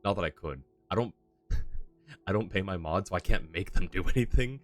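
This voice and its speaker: A man's voice: low voice, moderate pace, soft background music. A young man chatting casually and self-deprecatingly while streaming a game. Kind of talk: casual live-stream chat.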